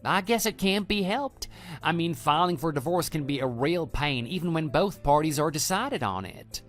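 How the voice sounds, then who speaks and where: Smug, brazen other man for audio drama, male actor: dismissive, condescending, very animated pitch, faint music underneath. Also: normal speed. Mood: scornful, dismissive. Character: smug, brazen male lover taunting a betrayed husband. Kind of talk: scripted texting-story radio drama.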